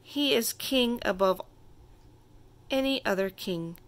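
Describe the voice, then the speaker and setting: A woman voicing a gentle, reassuring father with a tender, patient manner in a children's storybook. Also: a little high, lively intonation, takes it slowly.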